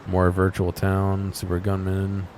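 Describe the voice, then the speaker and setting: Low-voiced man with noise behind. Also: monotone, a little slow, darkish tone. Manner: low-key, musing to himself. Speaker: gaming video host. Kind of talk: casual off-the-cuff game exploration commentary.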